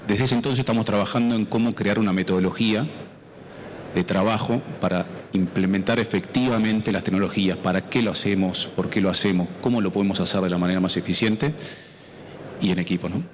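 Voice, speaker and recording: Argentine man with a lowish voice. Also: steady tone, brisk, a little hiss or hum. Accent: Argentine Spanish. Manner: orderly, explanatory. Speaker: panelist, farm business member. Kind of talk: conference panel talk. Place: conference auditorium.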